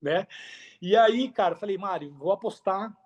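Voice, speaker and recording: Talkative Brazilian man, slightly high voice. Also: normal speed, a little mellow, lo-fi sound. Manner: talkative, peppers speech with tag questions and slang. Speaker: podcast guest. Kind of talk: candid conversational storytelling.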